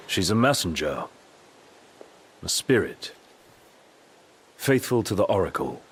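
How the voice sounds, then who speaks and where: An actor voicing a composed, articulate young lord's companion in a video game, speaking precisely and seriously. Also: male voice, low voice, very animated, slow, a bit bright, faint music behind, heavily acted.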